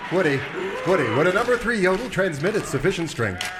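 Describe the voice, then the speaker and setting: Animated heroic cowboy leader, noisy recording. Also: male voice, high voice, brisk, long reverb tail. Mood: urgent, resolute. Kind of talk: parody of old-time radio serial acting.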